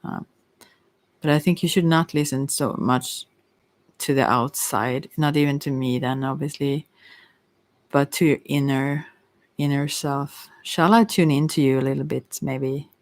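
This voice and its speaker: A psychic tarot reader speaking in a gentle, consoling ASMR style, rambling and often correcting themselves while giving unscripted advice. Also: mid-range voice, unhurried.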